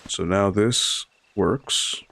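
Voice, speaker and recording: Hoarse, deep-voiced man streaming a game. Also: extremely slow, slight background noise. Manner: relaxed and chatty, explains each step while playing. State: hoarse, raspy from bronchitis. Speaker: library livestream host. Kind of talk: casual gameplay commentary to a live chat.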